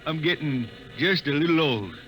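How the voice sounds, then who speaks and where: A man's voice: fairly high pitch, lively intonation, a bit bright, poor audio. A man playing a weary, stubborn old spaceman and balladeer, homesick, with a folksy rural American accent in a 1950s radio drama. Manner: folksy, slow, wistful. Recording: vintage radio recording with music behind.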